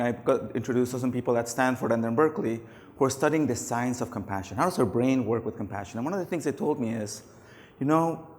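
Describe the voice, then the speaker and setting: A man's voice: medium pitch, a little mellow. A middle-aged man losing his voice, giving a casual, anecdotal conference talk on stage with many fillers. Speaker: conference speaker. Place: large conference hall. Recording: long hall reverb.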